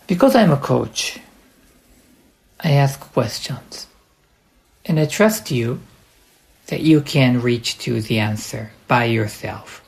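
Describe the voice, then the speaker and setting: A man with a Japanese accent delivers an instructional podcast monologue as a coach. His pace is slow and his voice sits at a medium pitch. He places his words deliberately, in short phrases with halts between them. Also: lively intonation, vocal fry, low-quality recording. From Japan, partly raised in the United States.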